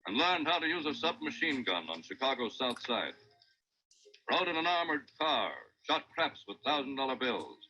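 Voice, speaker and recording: Old timey radio news announcer, super sharp urgent dramatic clipped delivery, dull crackly 30s sound. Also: male voice, mid-range voice, slightly rough, full character voice. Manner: clipped, portentous, declamatory. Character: stentorian male newsreel announcer, grave, urgent, dramatic. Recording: noisy, muffled 1930s radio recording.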